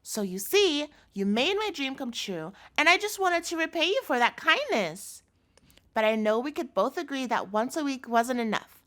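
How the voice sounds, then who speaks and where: Woman acting high-voiced, sugary, menacing yandere girlfriend. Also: sing-song, moderate pace, a bit bright. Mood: sweet, doting. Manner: sugary, coaxing, turning threatening. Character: obsessive yandere chef girlfriend, sweet but menacing. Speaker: voice actor in audio roleplay. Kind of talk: scripted intimate audio roleplay acting, addressed to the listener.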